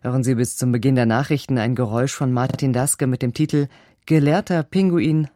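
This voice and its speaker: Female radio announcer, scripted read, mellow and relaxed, dry close sound. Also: a bass voice, brisk, no room sound.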